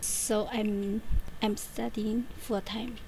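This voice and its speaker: Woman, slow even delivery, faint room hum. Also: medium pitch. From China. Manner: hesitant, filler-heavy. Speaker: English student. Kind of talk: self-introduction in class.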